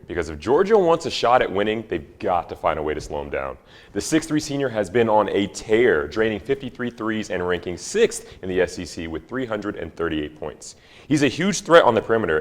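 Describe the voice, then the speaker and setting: A young man with a very low voice breaks down the matchup in a measured, emphatic way. It is scripted analysis for a student sports show. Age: young adult.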